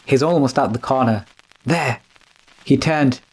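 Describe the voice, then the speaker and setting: A man's voice: medium pitch, unhurried, husky. Man playing John, a fretful manservant. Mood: anxious, hushed. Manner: fretful, whimpering, deferential. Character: nervous, complaining, deferential manservant John. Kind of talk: classic radio drama acting.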